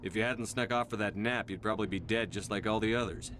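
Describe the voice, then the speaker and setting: Guy playing a stern army commander in a video game, talks fast and firm, deepish, music behind. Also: background noise, natural voice. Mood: firm, demanding. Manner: brisk, firm, interrogating. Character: stern, commanding human soldier commander questioning survivors. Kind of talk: video game dialogue acting.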